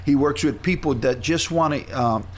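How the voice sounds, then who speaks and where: A man's voice: fairly high pitch, moderate pace, a little hiss or hum. A middle-aged man, chatting casually and enthusiastically about training with his coach, rambling with restarts. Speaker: client sharing his experience. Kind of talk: casual chat.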